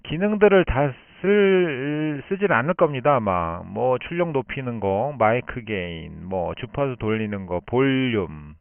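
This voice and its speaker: Guy on ham radio explaining stuff, thinks out loud, dry smooth voice, tinny shortwave sound. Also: medium pitch, lively intonation, no room sound. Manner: explanatory, thinks aloud with pauses. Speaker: amateur radio operator. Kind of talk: unscripted radio contact, long explanatory turns. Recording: band-limited shortwave radio transmission.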